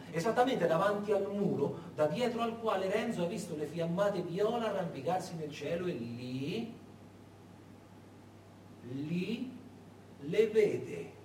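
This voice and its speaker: A man narrating in dramatic theatrical style, deliberate and emphatic, with dramatic pauses. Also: fairly high pitch, a little mellow. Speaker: stage narrator and actor. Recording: echoey, noisy recording from far off.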